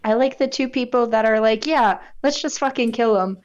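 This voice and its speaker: Blunt, higher-pitched woman, slightly muffled. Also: normal speed, a crowd in the background. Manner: blunt, opinionated, sweary. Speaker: tabletop game player. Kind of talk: casual chat.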